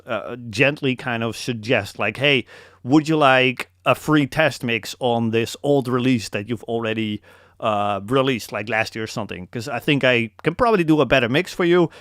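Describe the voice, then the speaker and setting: A man's voice: mid-range voice, a bit bright, no echo. Dutch man coaching in a dry room. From Netherlands. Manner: emphatic, repeats key points, calls listeners man. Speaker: mixing coach hosting a live Q&A. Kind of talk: casual coaching talk.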